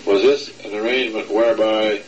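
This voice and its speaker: A man's voice: on the low side, steady tone, a little fast, highs cut off, a little hiss or hum. A man interviewing an older immigrant, asking polite, prompting questions. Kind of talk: oral history interview.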